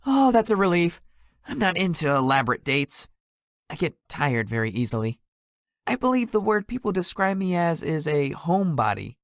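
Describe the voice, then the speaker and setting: A woman's voice: on the low side, sing-song, normal speed, no room sound, clean studio sound. A woman voicing a shy, polite young male tech executive in a visual novel, slightly formal and hesitant in manner. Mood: earnest, mild. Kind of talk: visual novel character dialogue.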